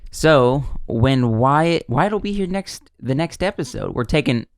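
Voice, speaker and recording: A mid-pitched man with a Southern American accent talks easily as a podcast co-host, slipping in quick asides. The sound is close and dry, with no room around it. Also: expressive, no echo. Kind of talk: casual chat.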